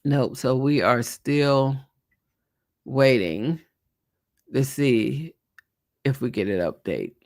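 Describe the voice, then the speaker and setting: A man's voice: medium pitch, lively intonation, takes it slowly, long pauses, no room sound, clean studio sound. A man hosting a live stream, chatting casually and thinking aloud with a congested, nasal voice. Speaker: livestream host. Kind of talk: live commentary on a court trial.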